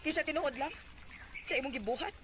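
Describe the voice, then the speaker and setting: A woman's voice: high-pitched, fast talker, natural voice. A woman with a Cebuano Filipino accent acting a hurt, neglected young girlfriend in a radio drama. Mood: hurt, confronting. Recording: noisy radio drama recording.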